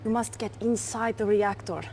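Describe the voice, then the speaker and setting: An actress as high-voiced commanding Soviet officer. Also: female voice, fairly even intonation, moderate pace, a little mellow, a little hiss or hum, natural voice. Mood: urgent, alarmed. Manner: urgent, commanding. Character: commanding, devoted female Soviet military officer. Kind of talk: 1990s game cutscene acting.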